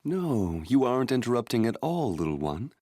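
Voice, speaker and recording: An actor playing a shrewd, courteous elder-brother prince and statesman in an English anime-dub audio drama, measured and diplomatic. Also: medium pitch, big pitch swings, dark tone, dry recording, studio quality. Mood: amused.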